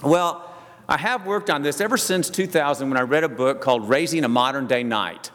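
A middle-aged or older man, giving a folksy, halting, self-deprecating talk to a group. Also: a little high, fairly bright tone. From southern United States. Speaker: speaker addressing a church group. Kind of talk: personal talk with stories and Bible reading. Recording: long echoing hall reverb.

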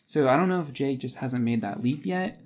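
A man's voice: mid-range voice, animated, brisk, a little mellow, slight background noise. A man co-hosting a podcast, discussing a book in a casual, conversational way. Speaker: podcast co-host.

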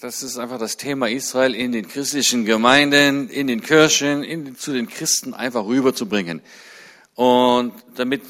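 Guy in his 40s preaching at a church, normal mid voice, gets fired up and goes high on the big points. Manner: fervent, climbs high and loud on key points. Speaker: guest speaker at a church. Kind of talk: sermon-style talk to a congregation.

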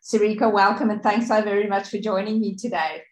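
A woman's voice: medium pitch, steady tone, brisk, reverberant. A woman podcast host whose first language is Afrikaans, chatty and encouraging as she interviews a guest.

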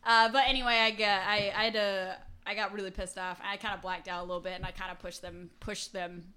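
A woman's voice: medium pitch, level tone, fast talker, bright, forceful tone, clean tone. A woman in her twenties, co-hosting a podcast and telling stories in a joking, casual way. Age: 20s. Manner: joking, storytelling. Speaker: podcast co-host. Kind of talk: casual chat.

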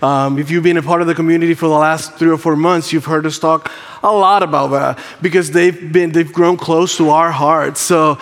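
A man's voice: a little high, steady tone, normal speed. A man with a Puerto Rican Spanish accent, a campus pastor giving an enthusiastic church update with frequent ums. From Puerto Rico. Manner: warm, enthusiastic, with frequent ums and playful asides. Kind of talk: church announcement. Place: church sanctuary. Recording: long hall reverb.

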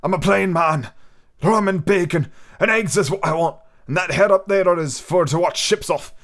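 A man's voice: high voice, moderate pace, fairly bright tone, voice pushed up. A man voicing a coarse, fierce old male sea captain in a bellowing pirate growl. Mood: gruff.

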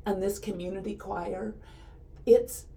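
A woman with a dark, mellow tone and a slight hoarseness. She talks earnestly and a bit preachily, encouraging her listeners in easygoing conversation. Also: medium pitch, steady tone, a little slow. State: a little hoarse. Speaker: podcast co-host. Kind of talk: casual chat.

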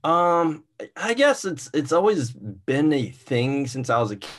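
A man in his forties, a stand-up comedian, telling stories in a relaxed, rambling way over a video call from a small closet room. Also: on the high side, unhurried. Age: 40s. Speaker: stand-up comedian, podcast guest. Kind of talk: casual interview chat. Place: small converted walk-in closet.

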